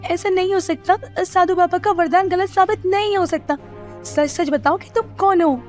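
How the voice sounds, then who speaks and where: A woman voicing a kind, earnest young village woman in an animated moral story. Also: extremely high pitch, moderate pace, soft background music, pitched above the performer's own voice. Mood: distressed, pleading.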